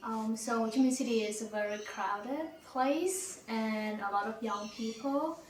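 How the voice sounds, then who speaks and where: A young Vietnamese woman talks slowly and hesitantly, her voice sitting a little high. She sounds set back from the mic in an echoey room with faint ambience. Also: far from the mic, a little hiss or hum. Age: young adult. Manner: hesitant with many ums. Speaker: interviewee. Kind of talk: casual personal interview.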